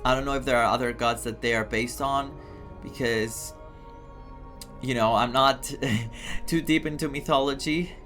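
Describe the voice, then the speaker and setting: A man from Greece doing game playthrough commentary and reading game text aloud in an expressive, enthusiastic manner. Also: medium pitch, a little slow. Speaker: gaming streamer. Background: game music.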